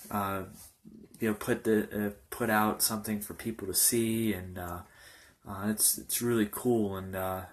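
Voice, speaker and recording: A man in his early twenties, a pastor giving an informal personal testimony in a halting, loosely rambling way. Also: lowish voice. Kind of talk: informal testimony talk to camera.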